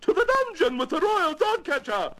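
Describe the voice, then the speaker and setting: A man's voice: very high voice, big pitch swings, normal speed, fairly bright tone, voice pushed up, full character voice. A man voicing a hysterical, imperious storybook Queen in a grandly dramatic manner, with music behind. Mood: furious. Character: hysterical, imperious storybook Queen, adult woman, easily frightened.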